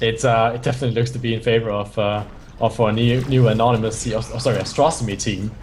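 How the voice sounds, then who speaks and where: Fast-talking male esports analyst, not a native speaker. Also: lowish voice, fairly even intonation, darkish tone, a little hiss or hum. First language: not English. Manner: fast, restarts phrases, explains strategy. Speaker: esports color commentator. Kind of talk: analytical game commentary.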